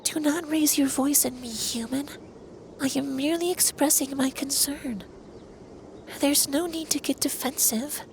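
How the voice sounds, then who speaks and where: Man acting as ancient male dragon, high androgynous voice, level intonation, formal deliberate phrasing, stern but caring, intimate ASMR roleplay. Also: steady tone, exaggerated voice. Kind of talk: ASMR roleplay, intimate scripted monologue addressed to listener. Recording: clear background noise with ambience.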